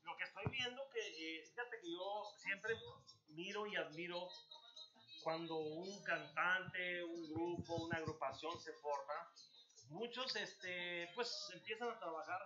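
A man hosting a studio music show, talking high and bright with a lot of hype for the crowd. He jokes and chats easily with the band. The sound is dull and lo-fi. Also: measured pace. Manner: hypes up the audience, joking and chatty. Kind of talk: lively talk-show hosting. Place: TV studio set, indoors.

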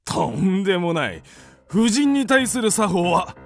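An actor playing a pompous, short-tempered landowner in a radio drama, formal yet explosive. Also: male voice, high-pitched, sing-song, measured pace, soft background music, full character voice. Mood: scornful, argumentative. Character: blustering, short-tempered male landowner and creditor, scornful of women.

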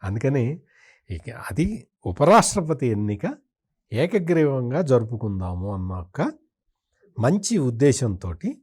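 A man gives political commentary in an interview. His mid-pitched voice carries firm opinions, and he repeats points for emphasis as he criticises. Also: very animated, unhurried, pauses often, darkish tone, slightly rough.

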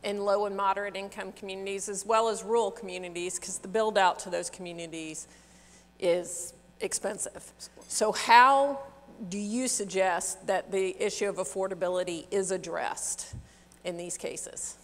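A middle-aged woman seated on stage as moderator, asking her questions with eager warmth. Her mid-pitched voice carries a long reverb through a big conference hall. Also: fairly even intonation, normal speed.

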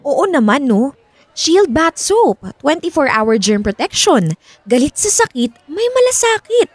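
A woman's voice: high-pitched, big pitch swings, takes it slowly, no echo, full character voice. A woman acting a young married woman in a Filipino radio drama, with a Filipino accent and an easily flustered, evasive manner. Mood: chatty.

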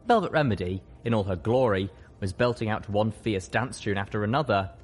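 A man with a fairly low voice narrates a story in the first person, as an audiobook reader would. Music plays behind his reading. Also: breathy edge.

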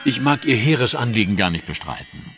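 A man plays a cool Victorian detective in an audio drama, formal and analytical. His mid-pitched delivery moves expressively up and down, with music playing behind him. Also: lively intonation, brisk, a bit bright, lightly acted. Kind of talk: German audio drama acting.

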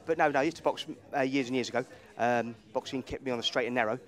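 A man's voice: medium pitch, fast talker. A middle-aged man, a gym owner, chatting at a party in a casual interview, hesitating with many ums and repeated words. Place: indoor party venue. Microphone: handheld mic.

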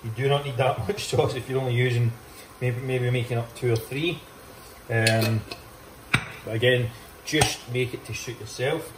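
A man's voice: mid-range voice, fairly even intonation, moderate pace, noisy background. A young man talking casually as he walks through a meal-prep recipe in his home kitchen, upbeat and loose, filming on a phone at arm's length. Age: young adult. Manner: chatty, upbeat, loose with swearing. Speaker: fitness vlogger. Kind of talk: casual cooking walkthrough. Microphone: phone held at arm's length. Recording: echoey kitchen, faint clicks.

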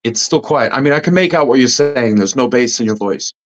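A loud-voiced man hosting a casual panel discussion over a video call. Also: expressive, a little fast. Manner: loud, booming voice. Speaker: host. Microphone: computer mic on a video call.

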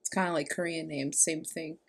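A woman's voice: low-pitched, steady tone, brisk, vocal fry, breathy edge. A young adult woman giving casual commentary as a reaction video host. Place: small room indoors. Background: background music.

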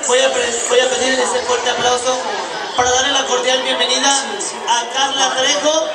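A man working as a pageant master of ceremonies, announcing to a live audience in a loud, drawn-out showman delivery. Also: very high-pitched, little pitch movement. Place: large indoor event hall with stage and audience. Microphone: event PA picked up by far camera. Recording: very echoey distant hall, loud music and crowd.

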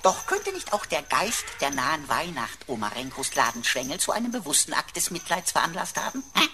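A woman's voice: low voice, wildly swooping pitch, brisk, noisy background. A woman playing a cheeky, wordy talking computer in a sci-fi radio play, pompous and mock-servile with playful wordplay.

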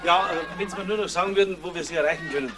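An actor playing a laid-back, cheeky police detective with a Bavarian accent and a folksy manner in a radio crime drama. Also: male voice, high-pitched, very fast, over music, crowd noise, close to an everyday voice. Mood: easygoing. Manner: easygoing, folksy.

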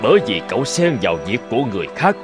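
An actor playing a calm old hermit in a cartoon folk tale, speaking in a measured, lecturing way. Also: male voice, mid-range voice, music in the background, lightly acted. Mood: serene, explaining. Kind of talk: animated folk tale voice acting.

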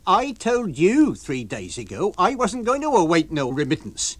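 Man voicing a shrill, scolding country landlady. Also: huge pitch swings, normal speed, no room sound, heavily acted. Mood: indignant. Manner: scolding, rustic dialect speech. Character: shrill indignant country landlady, middle-aged.

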